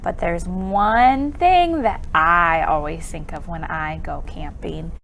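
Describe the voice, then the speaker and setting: A woman's voice: lowish voice, slow, vocal fry, fairly bright tone, slight background noise, rough recording. A woman presenting an interactive storytime for young children, with counting songs and exaggerated exclamations and questions.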